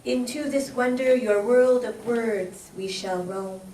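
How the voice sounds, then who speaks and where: A woman's voice: fairly high pitch, takes it slowly, dark tone. A woman reading a prepared introduction aloud at a live event. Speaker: poet introducing the featured speaker. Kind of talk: prepared tribute and biography read aloud. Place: live event room, recorded from a distance. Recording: echoey, distant microphone, faint background noise.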